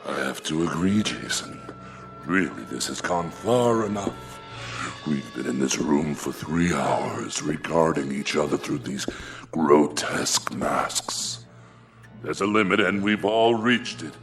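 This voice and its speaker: An actor playing a pompous, grasping middle-aged businessman with a measured, theatrical manner in a radio drama. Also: rumbling low, expressive, a bit bright, soft background music, heavily acted. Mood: indignant, exasperated.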